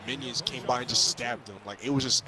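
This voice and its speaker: A young man casually recapping a movie in an excitable, rambling, hesitant way. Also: medium pitch, fairly quick. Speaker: vlogger reviewing a film. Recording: crowd chatter, bouncing basketball sounds behind.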